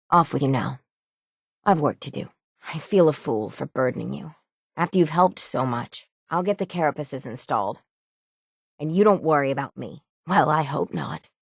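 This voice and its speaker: A woman's voice: sits low, no echo, clean studio sound, full character voice. An actress playing a stoic, dying island sailor woman in a video game, plainspoken and folksy, weary but stubborn. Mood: grave, determined. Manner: plainspoken, folksy rural islander speech, resigned yet stubborn. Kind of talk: dramatic video game dialogue.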